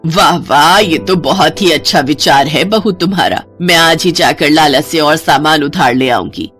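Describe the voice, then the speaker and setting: A woman's voice: low voice, moderate pace, fairly bright tone, faint music behind. A woman playing a caring elderly village mother-in-law in a storybook tale, speaking earnestly. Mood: delighted.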